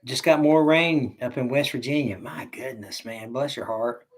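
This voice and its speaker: A man's voice: mid-range voice, moderate pace. A middle-aged man with a Southern American accent hosting a casual livestream, rambling, stop-start and joking as he reads viewer comments. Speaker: livestream host.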